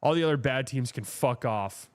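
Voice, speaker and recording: A man's voice: medium pitch, moderate pace. A man hosting a live watch-party stream, chatting casually and bluntly about the game and answering his chat.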